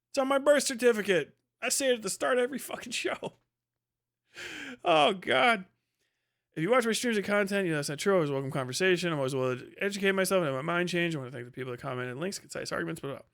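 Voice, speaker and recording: Man, high voice, livestream host, casual commentary, sarcastic, mocking, sweary rants. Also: vocal fry, a bit bright. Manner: heavily profane, sarcastic, mocking. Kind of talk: casual reaction commentary, reading posts aloud and ranting.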